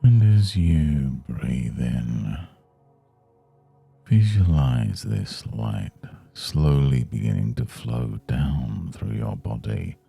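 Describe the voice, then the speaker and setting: Very deep, gravelly, dark-voiced man guiding meditation. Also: no echo. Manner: soothing, measured, hushed instruction. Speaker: meditation guide. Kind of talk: guided Yoga Nidra meditation.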